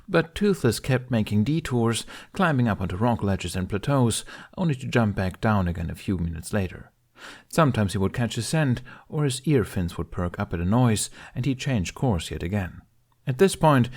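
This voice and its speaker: A man with a lowish voice narrates a fantasy fan fiction. His reading is calm and even, with a steady storyteller's pace. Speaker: podfic reader. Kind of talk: audiobook narration.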